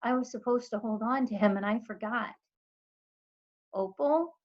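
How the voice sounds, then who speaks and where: A woman teacher reading a children's storybook aloud in expressive narration. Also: a little high, fairly quick, highs cut off.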